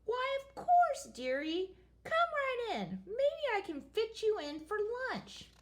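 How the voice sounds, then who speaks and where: A woman voicing a greedy old witch who croaks and speaks in a sly, wheedling way. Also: very high-pitched, huge pitch swings, slow, voice pushed up, heavily acted.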